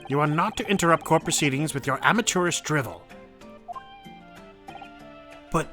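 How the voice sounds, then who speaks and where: Man playing a pompous, scornful prosecutor, raised pitch. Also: quick pace, bright, forceful tone, soft background music. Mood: scornful, haughty. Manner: haughty, condescending. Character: pompous, scornful male prosecutor scolding an amateur.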